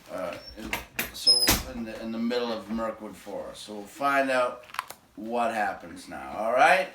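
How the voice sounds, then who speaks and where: Man, low voice, storybook read-aloud, echoey room, distant mic. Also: measured pace, heavy background noise. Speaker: reader reading a book aloud to listeners. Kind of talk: storybook read-aloud narration with casual chat. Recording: roomy echo, distant from the mic.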